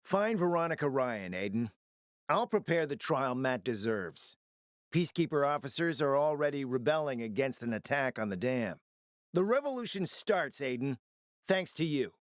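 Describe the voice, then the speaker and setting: A man's voice: medium pitch, sing-song, moderate pace, no echo, clean studio sound, natural voice. Man acting a pompous, swooping-voiced decadent fixer. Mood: smug, scheming. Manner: pompous, theatrical, condescending, savoring his words. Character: smug, decadent, flirtatious male gourmand fixer and supplier. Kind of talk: video game character acting.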